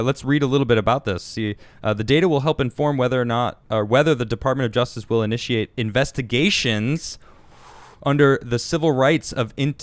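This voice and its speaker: An American man hosting a casual political talk show, getting animated and indignant on the news, often restarting his sentences. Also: medium pitch, no echo. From United States. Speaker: host.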